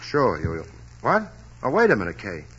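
A stammering, broke young actor. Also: male voice, on the low side, huge pitch swings, moderate pace, fairly bright tone, slightly rough, heavily acted. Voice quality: stammering, hesitant. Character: hard-up male actor, bashful and playful. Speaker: struggling stage actor. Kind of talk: 1940s radio acting. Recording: dull lo-fi old radio recording.

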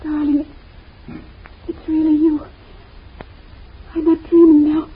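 A woman's voice: high-pitched, monotone, slow. A woman voicing an injured, delirious wife calling weakly for her husband in an old radio drama. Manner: faint, dreamy, yearning. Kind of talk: 1940s radio acting. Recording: tinny old radio recording from off-mic.

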